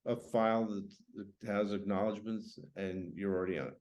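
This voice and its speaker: A man speaking as a writer in a casual literary conversation, halting and reflective, repeating phrases as he thinks. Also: on the low side, fairly even intonation, a little mellow. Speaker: writer, guest.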